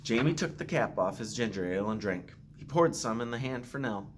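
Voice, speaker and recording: A man who is a school principal reads a picture book aloud to children with expressive, simple storytelling phrasing. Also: medium pitch, a little fast, noisy background. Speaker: school principal reading to pupils.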